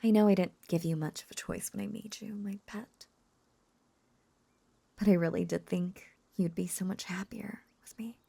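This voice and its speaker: A woman playing a lovesick, possessive, lonely witch in an intimate audio roleplay, coaxing and halting in a breathy whisper. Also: on the low side, fairly even intonation, mellow, no echo. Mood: insecure, pleading.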